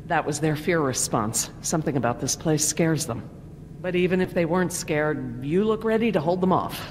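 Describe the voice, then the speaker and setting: A woman's voice: sits low, lively intonation, moderate pace, a little hiss or hum, poor audio. An actress voicing an analytical middle-aged woman scientist with a clinical, earnest manner in a video game. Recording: loud dramatic music behind voice.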